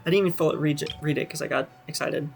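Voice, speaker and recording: A woman's voice: deep voice, fairly even intonation, rapid-fire, vocal fry. A woman giving casual, informal playthrough commentary, musing aloud as a gaming streamer. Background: faint game music.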